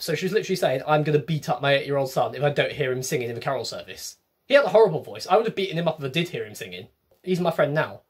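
A man's voice: fairly high pitch, husky. A young English man, a comedian, talking to camera in a wry, chatty, self-mocking comic monologue from a small room. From England. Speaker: comedian, web series host. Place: small bedroom with bookshelves.

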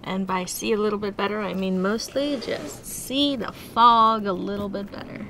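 A woman's voice: medium pitch, steady tone, moderate pace, sharp tone, slight background noise. Wry woman on a foggy boat deck. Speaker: sailing vlog co-host. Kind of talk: casual vlog narration. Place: aboard a sailboat.

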